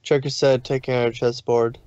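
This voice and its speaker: A man's voice: medium pitch, flat delivery, fairly quick, a little mellow, clear, resonant tone, no room sound, dull audio. A man reading scripted story narration in a dramatic style.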